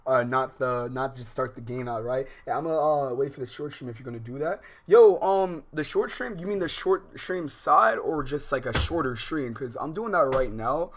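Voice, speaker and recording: A man's voice: medium pitch, animated. An American man doing casual, slangy livestream commentary on a game, rambling and often interrupting himself. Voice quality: informal slangy speech. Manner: rambling, halting, self-interrupting. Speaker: livestream host. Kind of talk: casual gaming livestream commentary, reading visual novel aloud.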